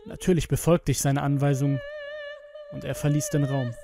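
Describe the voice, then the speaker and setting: A man's voice: on the high side, steady tone, moderate pace, creaky, background noise. A man narrating a scripted horror story dramatically, as the narrator of an audio tale. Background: music with strings.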